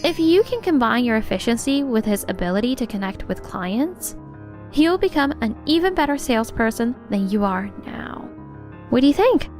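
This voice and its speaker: A woman's voice: fairly high pitch, sing-song, normal speed, music in the background. A woman voicing a poised, encouraging young office supervisor in a manga dub, earnest and frank but kind. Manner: composed, earnest, supportive. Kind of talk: anime-style dubbed dialogue.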